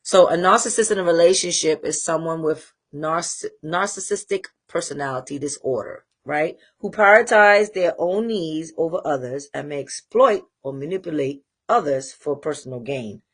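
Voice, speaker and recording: A woman with a Trinidadian accent hosting a live talk show, speaking candidly and rambling with pauses to find her thread. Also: low-pitched. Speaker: host. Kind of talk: casual livestream monologue.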